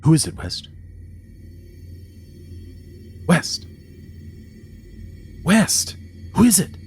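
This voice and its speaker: An actor playing an anxious, earnest doctor and former research assistant in a horror audio drama. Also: male voice, high voice, wildly swooping pitch, slow, a little mellow, soft background music, heavily acted. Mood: alarmed. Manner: earnest, agitated.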